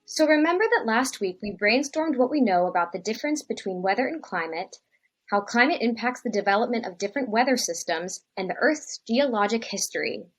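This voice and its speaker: A woman acts out a young female science teacher in an educational drama. Her voice is husky and swings up and down expressively. She stays patient and diplomatic as she instructs. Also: on the high side, lively intonation, a little fast, faint music behind. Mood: calm, instructive.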